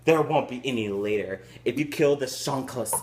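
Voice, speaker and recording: A man reading a novel aloud for friends, shouting the characters' lines and breaking into crude jokes and laughter. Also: mid-range voice, normal speed, reverberant. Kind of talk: comedic group read-through with crude asides.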